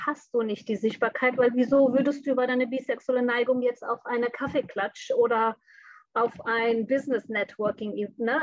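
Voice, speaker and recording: A woman's voice: a little high, no room sound, lo-fi sound. A young woman who grew up in Bangladesh talks openly and reflectively as an interview guest, with many fillers. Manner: open, frank and reflective, with frequent fillers. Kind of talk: conversational interview.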